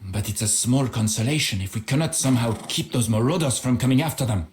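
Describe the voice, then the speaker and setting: An actor playing a devout, gallant knight in a video game, courteous and given to breezy understatement. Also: male voice, medium pitch, lively intonation, fast, lightly acted. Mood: resolute. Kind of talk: dramatic video game cutscene acting.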